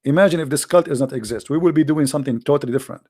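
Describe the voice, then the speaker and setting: Male host, native Arabic speaker with Arabic accent, mid-pitched, dry close sound; blunt, insistent, impassioned monologue delivery. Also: rapid-fire, a little mellow, husky, no room sound. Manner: emphatic, blunt, repeats phrases, rhetorical questions. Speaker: host, religious polemicist. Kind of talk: impassioned informal monologue to online audience.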